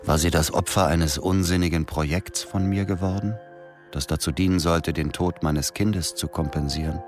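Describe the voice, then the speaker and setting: Guy acting brooding protective ex-soldier in a radio play, really low voice, music behind. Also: fairly even intonation, normal speed, natural voice. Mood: remorseful. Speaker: actor and first-person narrator. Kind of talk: scripted radio drama narration and dialogue.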